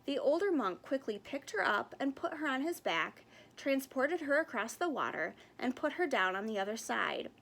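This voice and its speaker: Animated woman reading aloud, fairly high voice. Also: moderate pace, creaky. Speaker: storybook reader. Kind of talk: expressive read-aloud narration.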